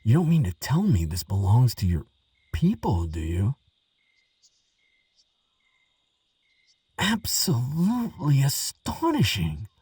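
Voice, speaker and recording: A man playing an earnest, excitable archaeologist who talks gently to a friend, stammering and musing with wonder, in a relaxing audio roleplay. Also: medium pitch, a little slow, breathy edge, no echo, recorded from a distance, heavily acted. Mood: astonished. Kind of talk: relaxing scripted audio roleplay, spoken to the listener.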